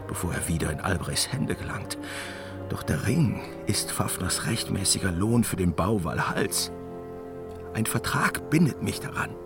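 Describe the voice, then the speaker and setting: A man's voice: low voice, creaky, exaggerated voice. An actor playing a weary, tormented, commanding father god in a fantasy radio drama, solemn and brooding, slipping into whispers. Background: dramatic music.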